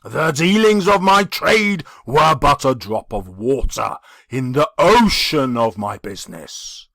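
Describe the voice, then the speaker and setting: Man voicing an anguished, booming ghost, slow and drawn-out. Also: animated, vocal fry, a bit bright, no room sound, clean studio sound, heavily acted. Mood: anguished, remorseful. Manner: declamatory, mournful, drawn-out delivery. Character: anguished ghost of a dead businessman, tormented and booming. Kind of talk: dramatic audiobook character reading.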